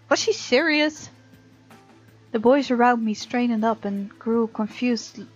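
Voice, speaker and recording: A woman gamer reading visual novel narration aloud in an expressive, informal way, with casual commentary of her own. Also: a little high. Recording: muffled mic, game music behind. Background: game music.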